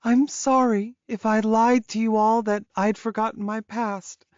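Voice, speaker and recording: High-pitched, emotional young she-wolf, voiced by a man. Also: little pitch movement, a little slow, clear, resonant tone, no echo, dull audio. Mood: anguished. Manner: emotional, pleading, earnest. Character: tearful young female werewolf confessing her painful past.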